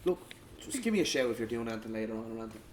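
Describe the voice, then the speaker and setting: A man's voice: mid-range voice, very animated, racing, a little hiss or hum, close to an everyday voice. Matey thirties pub lad played by a man. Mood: tentative. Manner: colloquial, matey. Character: stocky mid-thirties pub mate, matey, eager to please. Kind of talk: informal table read of a script.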